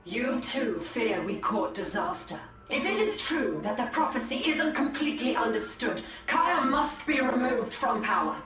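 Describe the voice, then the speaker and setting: A solemn, resolute alien seer, with formal phrasing and a fairly high voice. She sounds distant and echoey, as in a game cutscene. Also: big pitch swings, measured pace, few pauses, fairly bright tone. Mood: wary, probing. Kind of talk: dramatic video game cutscene acting. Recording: echoey and distant, music behind.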